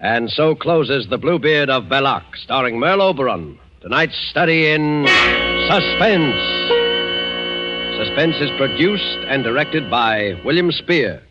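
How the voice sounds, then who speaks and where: A man's voice: medium pitch, lively intonation, a little slow. A man announcing a 1940s radio show from a script in a formal, dramatic style. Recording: dull 1940s radio broadcast recording. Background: loud music.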